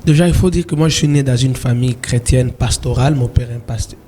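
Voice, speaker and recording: Male guest from eastern DR Congo, gospel singer, mellow and unhurried, halting restarts. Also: mid-range voice, slight background noise. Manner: calm, hesitant with repeated restarts. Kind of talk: interview answers.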